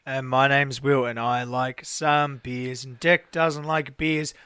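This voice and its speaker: A young man co-hosts a casual chat with a hoarse, worn voice after a cold. He jokes drily. The sound is dry with no room echo. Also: mid-range voice, level tone, slow, pushed and bright. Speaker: podcast co-host.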